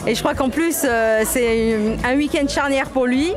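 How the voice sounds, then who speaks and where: A woman's voice: high voice, normal speed. A woman in casual conversation, answering an interviewer's questions informally as one of a party's organisers. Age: 40s. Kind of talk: casual chat. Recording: party music and crowd chatter behind. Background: party crowd chatter and music.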